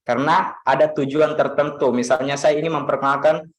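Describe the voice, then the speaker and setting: A man from Makassar, Indonesia, teaching an online English lesson in a relaxed way, with lots of fillers and repeated phrases. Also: on the high side, a little fast, echoey room.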